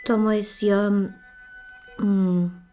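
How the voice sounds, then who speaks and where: A devoted, troubled Austrian mother being questioned in a radio drama. She speaks at a middling pitch, halting and repeating herself. Her voice is emotionally fragile and can turn breathy or close to breaking. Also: flat delivery, warm tone, soft background music. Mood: bewildered, agitated. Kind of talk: naturalistic radio drama acting.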